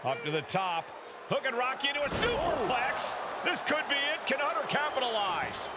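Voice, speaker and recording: A man calling play-by-play for a wrestling video game in excitable, punchy bursts. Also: extremely high pitch, moderate pace. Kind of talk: live sports commentary for a wrestling video game. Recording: loud arena noise behind.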